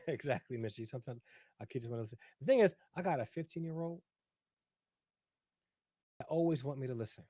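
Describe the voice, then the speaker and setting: A man's voice: medium pitch, expressive, brisk, pauses often, mellow, husky, dry recording, studio quality. A middle-aged man hosting a casual livestream, talking at length, reading out viewer comments and often checking in with "right?". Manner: talkative, repeats himself, says "right?" often. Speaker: livestream host.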